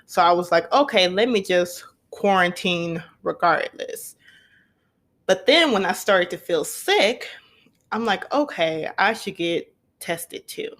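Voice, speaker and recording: A woman's voice: on the low side, expressive, slow, a bit bright. A young woman hosting a podcast, telling a personal story in an earnest, conversational way and repeating points for emphasis. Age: young adult. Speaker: podcast host.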